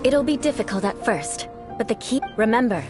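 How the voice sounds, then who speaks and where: A woman's voice: fairly high pitch, lively intonation, moderate pace, music in the background, close to an everyday voice. A woman voicing a proud, elegant young noblewoman knight in a video game, formal and poised as she instructs. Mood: composed, instructing.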